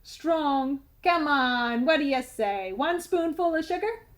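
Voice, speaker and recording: A woman's voice: high-pitched, lively intonation, slow. A woman voicing a whiny, bossy, melodramatic talking tooth that craves sugar, in a storybook reading. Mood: wheedling. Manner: pleading, melodramatic, mouthy.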